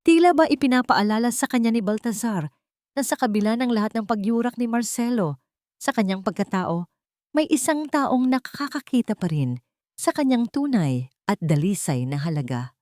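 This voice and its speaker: A woman's voice: on the high side, animated, normal speed, darkish tone, no echo, studio quality. A woman storyteller reading a scripted romantic drama in a solemn, sentimental manner. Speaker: storyteller, narrator.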